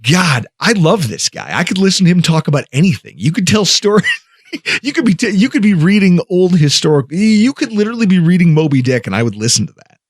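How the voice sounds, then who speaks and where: A man hosting a podcast, enthusiastic and quick to laugh. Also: sits high, big pitch swings, breathy edge, no room sound, studio quality. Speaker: podcast host. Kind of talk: casual podcast chat.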